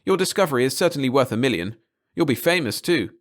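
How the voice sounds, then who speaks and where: A man voicing a patient, quiet-spoken chemist in a story reading. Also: mid-range voice, lively intonation, fast, pitched above the performer's own voice, lightly acted.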